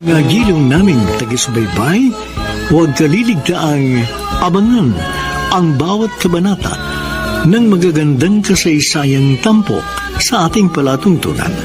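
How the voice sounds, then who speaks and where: Male radio presenter, Filipino accent, animated intonation, scripted delivery, music behind. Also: on the high side, a little slow.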